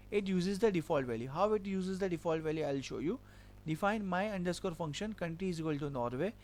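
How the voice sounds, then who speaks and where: A man's voice: fairly high pitch, a little hiss or hum. A man teaching a programming lesson, walking through code step by step and repeating each point with a filler "okay". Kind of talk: screen-recorded coding lesson.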